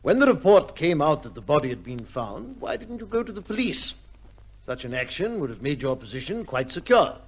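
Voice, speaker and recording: An actor playing a shrewd, measured gentleman adviser in an old radio drama, speaking formally and firmly. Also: a little high, sing-song, breathy edge, full character voice. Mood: firm, probing. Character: cool, shrewd gentleman adviser to an accused judge.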